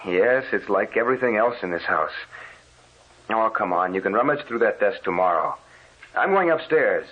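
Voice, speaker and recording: An actor playing an uneasy, dismissive husband in a theatrical, impatient way, in an old radio drama on a muffled recording. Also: male voice, lowish voice, animated, moderate pace, breathy edge. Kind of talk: 1930s-40s radio drama acting.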